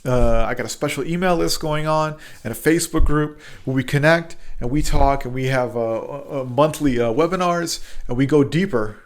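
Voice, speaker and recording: A man's voice: fairly high pitch, normal speed, few pauses. A man hosting a motivational podcast, earnest and prone to repeating words and restarting, who also speaks Hebrew. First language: bilingual, speaks Hebrew. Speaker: podcast host.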